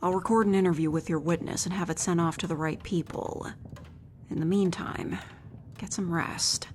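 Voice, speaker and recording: A woman playing a concerned, practical ally in a fantasy audio roleplay, with a breathy voice. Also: fairly low pitch, fairly even intonation, normal speed, a little mellow, slight background noise, lightly acted. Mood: businesslike, caring.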